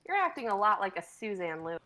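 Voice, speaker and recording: A brash, showy game show host, played by a woman for a comedy sketch. The host announces with mock grandeur and throws insults at the guests in a mid-pitched voice. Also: big pitch swings, fast talker, bright, forceful tone. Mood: mock-stern. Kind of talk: comedic live dramatic reading.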